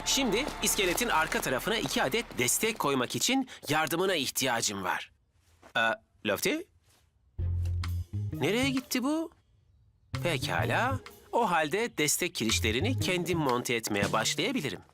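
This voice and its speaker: A man's voice: a little high, wildly swooping pitch, normal speed. A man playing an easygoing, practical young builder in a children's cartoon dub. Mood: busy, practical. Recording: loud music over the voice.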